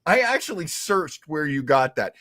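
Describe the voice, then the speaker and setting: A man hosting a radio show, telling stories in a casual, animated and deliberate way with emphatic repetition. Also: a little high, no room sound, studio quality. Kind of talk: casual storytelling talk show.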